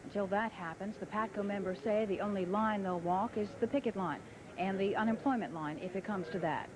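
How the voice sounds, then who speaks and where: A woman's voice: mid-range voice, a little fast, low-quality recording. A woman with an American accent reporting for television news on location. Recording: crowd behind, archival field TV audio.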